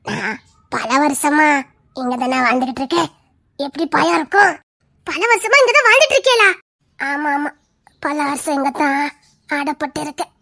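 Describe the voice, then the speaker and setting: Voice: sits high, animated, moderate pace, long pauses, sharp tone, no room sound, studio quality, heavily acted. A performer voicing an eerie old ghost grandmother who wails and repeats herself. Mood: eerie calm. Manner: wailing, moaning, repeats herself. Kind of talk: horror skit acting.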